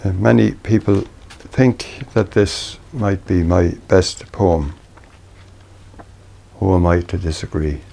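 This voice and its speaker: An Irish man reading his own poetry in a measured, deliberate way, pausing between short phrases. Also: low-pitched, steady tone, creaky, mellow. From Ireland. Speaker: poet reading own work.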